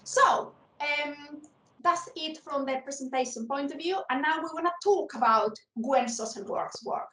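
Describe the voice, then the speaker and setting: High-voiced woman chairing, echoey. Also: measured pace, bright, forceful tone, distant mic. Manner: hesitant, with frequent fillers. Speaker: session chair. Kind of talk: moderating a video panel. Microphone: video call. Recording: roomy echo, away from the mic.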